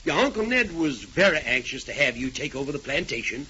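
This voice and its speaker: A husky old Southern gentleman colonel speaks with courtly formality and a very lively, swooping melody. His Southern drawl comes through a dull 1940s radio comedy recording. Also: mid-range voice, a little fast, heavily acted. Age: older.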